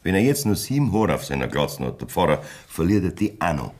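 Lecherous, boastful Bavarian farmer in his fifties; very low husky voice, swinging delivery, radio play. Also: very animated, a little fast, darkish tone, exaggerated voice. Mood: defiant, vengeful. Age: middle-aged. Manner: boastful, coarse, earthy. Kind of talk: dialect radio drama acting.

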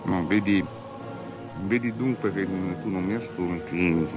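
A man's voice: deep voice, takes it slowly, over music. An actor playing an anxious, superstitious king in an avant-garde radio drama, declaiming theatrically and sliding into whispers, on a noisy recording. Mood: coaxing, lavish. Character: anxious, superstitious king pleading and bargaining with a princess.

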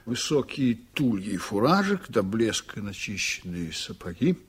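An actor playing a proud, stubborn, reflective ship's captain in a Soviet radio drama, telling things unhurriedly with dramatic pauses. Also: male voice, on the low side, big pitch swings, husky, exaggerated voice. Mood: reflective reminiscing.

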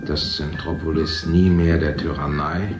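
An actor playing an older man who rules a future city, lecturing in a smooth, condescending way. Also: a bass voice, flat delivery, unhurried, roomy sound, faint music behind. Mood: proud. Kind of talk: 1970s radio play acting.

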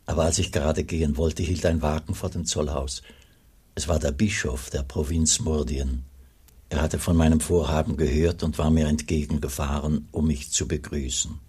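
An actor playing a monk who tells his own story as narrator in a radio play, with a husky voice. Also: very deep voice, fairly even intonation, normal speed, dark tone, full character voice. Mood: calm. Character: Father Eugen, older monk, looking back.

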